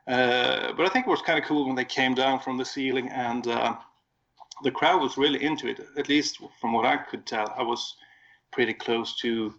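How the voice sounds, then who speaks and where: A man with a Swedish accent chats casually on a podcast, his voice gravelly with fry. He rambles and corrects himself as he recalls things. The audio sounds dull and lo-fi. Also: medium pitch, a bit bright. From Sweden. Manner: rambling, self-correcting recollection. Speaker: podcast co-host. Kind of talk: casual chat.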